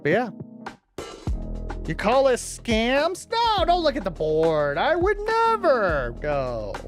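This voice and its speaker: A man's voice: very high-pitched, wildly swooping pitch, slow pace, soft background music. A man livestreamer talking casually to his chat, informal and teasing, with some swearing. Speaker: livestreamer hosting a watch-along.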